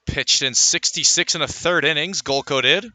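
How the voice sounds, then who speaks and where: A male radio baseball announcer with a very bright, forceful voice pitched a little high. He calls the game live in play-by-play style, moving through stats in a measured way, and the sound is dry and close. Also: no echo. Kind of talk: live sports play-by-play commentary.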